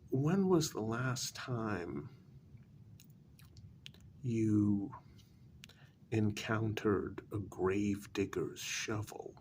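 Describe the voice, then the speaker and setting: Guy doing a weary older vampire gent, super slow gravelly soft talking, smug and sarcastic asmr, bit of background hiss. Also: fairly low pitch. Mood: dry, mocking. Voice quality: gravelly, breathy soft voice dropping into whispers. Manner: slow, deliberate, dryly condescending. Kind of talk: soft-spoken ASMR role-play.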